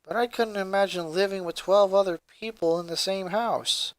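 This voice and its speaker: A man's voice: high-pitched, steady tone, normal speed, dry recording, close to an everyday voice. A man voicing a tough but caring tomboy girl in a story reading, earnest and easily embarrassed. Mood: earnest, tender.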